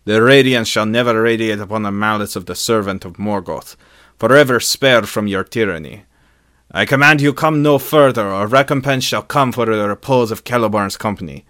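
A man playing a noble elf smith in a fantasy story, declaiming forcefully and defiantly to command. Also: lowish voice, normal speed, dry recording, clean studio sound, pitched above the performer's own voice, lightly acted. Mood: solemn defiance. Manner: solemn, forceful, archaic declamation.

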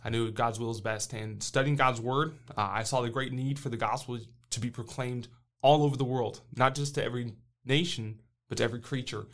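A man in his thirties, a missionary who grew up in Brazil, answers questions in an earnest, plain-spoken way. His pitch sits in the middle of the range for a man, and he fills his pauses with soft hesitations. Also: fairly even intonation. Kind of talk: interview answers.

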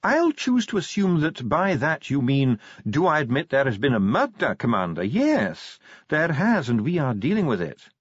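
Audiobook dwarf official, male reader acting: raised pitch, very animated swoops, pedantic, coldly condescending. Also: normal speed, hardly any pauses, slightly rough, dry recording, dull audio, exaggerated voice. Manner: pedantic, condescending, coolly needling. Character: haughty, calm, venomous male dwarf official of the underground.

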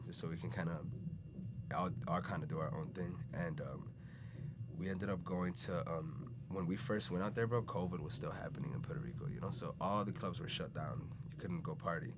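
A man's voice: sits low, moderate pace. A young man, born in Venezuela and raised in Chicago, telling stories as a podcast guest in a rambling, laid-back way that leans on "bro" and "you know". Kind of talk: casual conversational storytelling. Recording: steady background noise.

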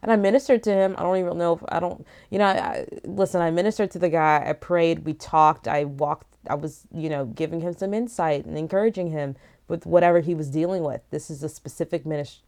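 A woman's voice: low-pitched, a little fast. An African-born young woman minister telling her own experiences in a casual, emphatic way, often restarting her sentences. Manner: emphatic, animated storytelling with frequent restarts. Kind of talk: casual personal testimony to camera.

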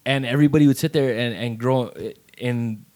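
A man's voice: mid-range voice, steady tone, brisk, darkish tone. A middle-aged man from Texas, co-hosting a podcast. He speaks earnestly in casual chat and often repeats words as he starts a sentence. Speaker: podcast co-host.

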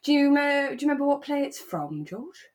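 A woman's voice: high voice, brisk. A woman, chatting casually and conversationally as a podcast co-host. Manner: relaxed, conversational. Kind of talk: casual chat about a radio drama.

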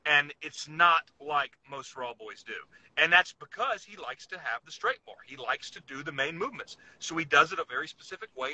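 A man's voice: fairly high pitch, sharp tone, slight background noise, rough recording. A man co-hosting a casual sports podcast, talking earnestly and emphatically, piling up intensifiers. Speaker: podcast co-host.

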